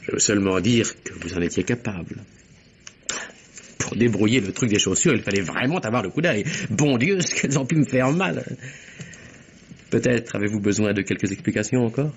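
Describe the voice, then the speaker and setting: An actor playing an articulate young secretary in a vintage French radio mystery, telling his account with theatrical, deliberate precision. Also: on the low side, a little hiss or hum, close to an everyday voice. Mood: confiding, assured. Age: young adult. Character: composed, articulate young secretary explaining himself under suspicion. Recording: dull, muffled old radio recording.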